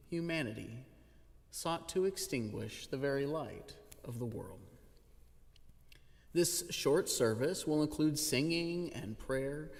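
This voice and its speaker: A man with a somewhat high-pitched voice speaks as a pastor leading worship. His delivery is formal, measured and solemn. The sound carries the long reverb of a large church hall. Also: vocal fry. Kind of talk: formal liturgical welcome and instructions. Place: large church sanctuary, indoors.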